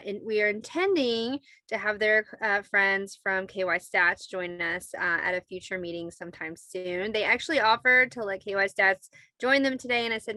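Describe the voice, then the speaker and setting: A woman's voice: medium pitch, pushed and bright, dry recording. A woman leading an online committee meeting, talking in a semi-formal, conversational way as facilitator. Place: video call.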